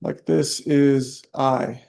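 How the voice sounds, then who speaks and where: A man leading a guided meditation, soft-spoken and unhurried, leaving long pauses between short phrases. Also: mid-range voice, fairly even intonation, gravelly. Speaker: meditation teacher.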